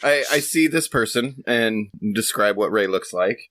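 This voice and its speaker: A man's voice: lowish voice, animated, a little slow, fairly bright tone, dry recording. A man casually narrating a scene as a player in a tabletop role-playing podcast.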